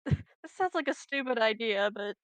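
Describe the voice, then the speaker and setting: A woman's voice: on the high side, animated, unhurried, fairly bright tone, dry recording. A woman chatting casually as a tabletop game player, tentative and self-deprecating. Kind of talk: casual chat.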